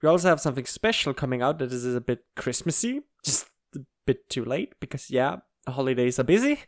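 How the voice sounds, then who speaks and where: A man's voice: mid-range voice, no room sound, clean studio sound. A man giving a casual update announcement as the creator of an audio project.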